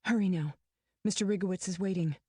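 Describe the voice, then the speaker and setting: Woman reading a calm protective mother, gentle and mellow; dry mic. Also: fairly low pitch, breathy edge, dry recording. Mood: reassuring. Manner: soft, reassuring, quick.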